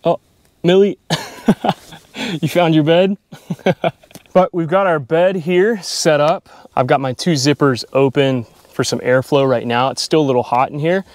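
A young man talking casually to his camera while camping, relaxed and chatty, with frequent laughs. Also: on the high side, measured pace, no room sound. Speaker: vlogger. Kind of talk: casual vlog narration. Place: in a tent and at a campsite in the woods. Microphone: handheld action camera.

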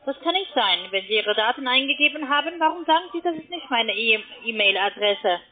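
A woman's voice: on the high side, lively intonation, a little fast. Insistent foreign woman on a tinny phone. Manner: insistent, formal. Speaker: call centre agent. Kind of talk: telephone cold call. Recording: tinny narrow-band phone line.

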